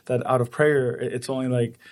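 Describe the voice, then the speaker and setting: A man's voice: mid-range voice, fairly even intonation, brisk. A man from the Dominican Republic, an activist guest in an interview, speaking earnestly with frequent restarts and small laughs. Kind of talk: conversational interview.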